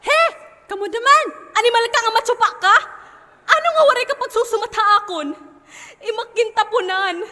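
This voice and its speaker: Actress as very high-pitched wronged woman. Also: brisk, sharp tone, slight background noise. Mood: outraged, crying. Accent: Eastern Visayan Filipino. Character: wronged, emotional woman, shrill and reproachful. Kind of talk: amateur stage melodrama acting. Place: theatre stage in a hall. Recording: echoey hall, music behind.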